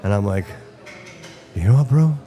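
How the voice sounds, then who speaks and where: A man whose first language is Portuguese hosts a live broadcast from a restaurant venue, talking with a low, dark, mellow voice. He rambles in an animated way and repeats phrases as he chats. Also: moderate pace. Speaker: host. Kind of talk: casual live-stream chat. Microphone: headphones with mic.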